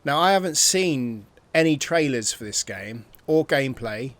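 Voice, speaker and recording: A man giving casual, excitable commentary while playing a video game and thinking aloud. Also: mid-range voice, measured pace, no room sound. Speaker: gameplay streamer. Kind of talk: casual let's-play commentary.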